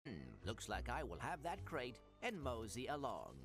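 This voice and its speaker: An actor playing a small, polite, earnest robot secret agent in a video game, with formal, precise diction. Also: male voice, medium pitch, huge pitch swings, unhurried, a bit bright, faint music behind, heavily acted. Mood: folksy, unruffled. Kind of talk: video game cutscene acting.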